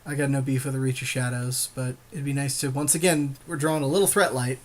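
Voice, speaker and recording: A man's voice: medium pitch, fairly even intonation, fast. A man co-hosting a podcast, bantering casually in slangy, joking talk during a card game draft. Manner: slangy, jokey. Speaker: podcast co-host.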